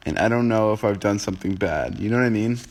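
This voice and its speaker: A man's voice: fairly low pitch, fast. Groggy young man rambling on a livestream. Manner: rambling, jokey, reads out and answers comments. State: groggy, just woke up. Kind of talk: casual livestream chat to viewers. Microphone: phone livestream app.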